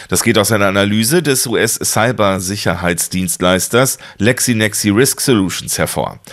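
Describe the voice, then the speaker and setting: A man reading radio news and the weather forecast in a formal, clear, even manner. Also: sits low. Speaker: newsreader.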